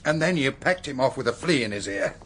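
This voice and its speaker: A probing detective in a radio mystery. His voice is medium in pitch and husky, with a deliberate, sceptical way of questioning. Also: animated, fairly bright tone. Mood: assured. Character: deliberate, probing male detective reasoning out a murder. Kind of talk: radio drama acting.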